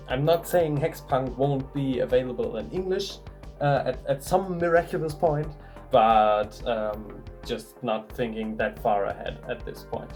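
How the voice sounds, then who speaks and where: A German man with a German accent, a game designer vlogging from his home workshop in a relaxed way, thinking aloud with frequent ums. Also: mid-range voice. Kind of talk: casual vlog talk to camera.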